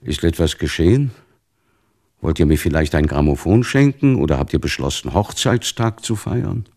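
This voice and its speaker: An actor playing a weary, brooding old immigrant father in a radio play, with a gravelly, husky voice and a questioning manner. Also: deep voice, expressive, moderate pace, darkish tone. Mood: wry. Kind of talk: literary radio play acting.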